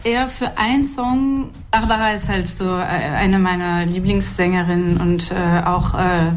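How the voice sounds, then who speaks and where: A woman from France, a native French speaker with a light French accent, giving chatty, animated answers as a singer in an interview. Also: on the low side, unhurried, slight background noise. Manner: chatty, animated, thinks aloud with restarts.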